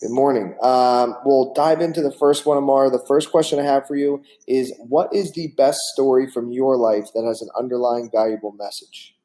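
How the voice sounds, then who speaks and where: A man's voice: mid-range voice, fairly even intonation, normal speed, few pauses, mellow, low-quality recording. A man hosting a business interview podcast with a mid-range voice. He reads the guest intro in an upbeat, friendly way and asks questions plainly. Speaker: podcast host.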